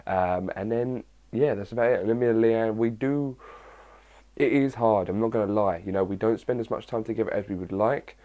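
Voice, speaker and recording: Husky bloke rambling through viewer questions, lowish voice, lots of ums. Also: male voice, a little fast, phone-quality sound. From England. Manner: chatty and rambling, with many fillers. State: husky, early-morning voice. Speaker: fitness vlogger answering viewer questions. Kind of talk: casual chat to camera.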